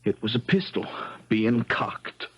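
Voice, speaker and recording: Folksy sharp-witted young guy, bit raspy, 70s radio mystery, muffled sound. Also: medium pitch, expressive, slow, exaggerated voice. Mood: suspenseful storytelling. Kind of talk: 1970s American radio drama acting, first-person storytelling and dialogue. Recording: dull 1970s radio broadcast recording.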